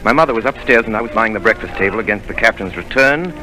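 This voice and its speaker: In a deep, measured voice. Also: male voice, bright, forceful tone, rough recording. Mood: calm. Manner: measured storytelling. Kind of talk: 1930s radio drama narration. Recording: old radio broadcast with music.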